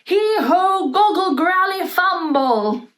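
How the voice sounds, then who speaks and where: Woman voicing a high, regal storybook queen. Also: expressive, takes it slowly, heavily acted. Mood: incantatory.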